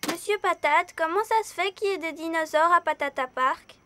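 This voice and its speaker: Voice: very high-pitched, moderate pace, bright, forceful tone, no echo. A performer voicing a curious, sweet little animal child in a preschool cartoon, with an inquisitive, childlike manner.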